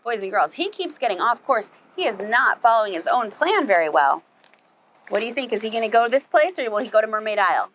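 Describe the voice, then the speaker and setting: A woman reading a children's story aloud as a preschool storyteller, animated and putting questions to her young listeners. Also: fairly high pitch, fast, a little hiss or hum, low-quality recording.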